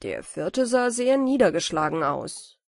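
A woman reading a fairy-tale audiobook as narrator, in an expressive storytelling style. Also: mid-range voice, a little slow, creaky, dry recording, clean studio sound.

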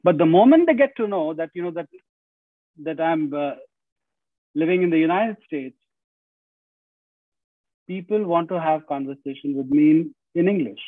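A man's voice: a little high, lively intonation, moderate pace, pauses often, dry recording. A middle-aged man with an Indian accent, giving an earnest lecture over a video call from home, often saying "you know" and restarting phrases. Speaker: guest speaker. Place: home room, indoors. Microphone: video call with earbuds. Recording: dry, tinny video call audio.

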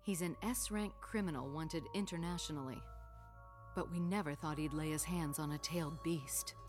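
Woman as serious, low-voiced young aide. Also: moderate pace, over music, natural voice. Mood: grave, warning. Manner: matter-of-fact, warning. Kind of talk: English anime dub.

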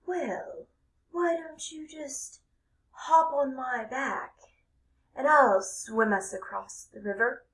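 A woman's voice: high-pitched, slow pace, a bit bright, distant mic, pitched above the performer's own voice, exaggerated voice. A woman voicing a scheming old male fox who speaks in a coaxing way. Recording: echoey room.

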